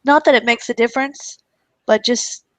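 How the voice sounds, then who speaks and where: A woman co-hosting a training webinar who is losing her voice, chatting casually and adding quick asides. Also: a little high, a bit bright, no room sound. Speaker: webinar co-host.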